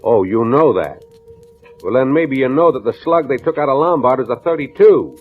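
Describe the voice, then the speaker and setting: An American actor playing a hard-boiled, impatient police captain who badgers with gruff, clipped questions in an old-time radio crime drama. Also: male voice, medium pitch, expressive, normal speed, soft background music. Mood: irritated. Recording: tinny, band-limited vintage radio recording.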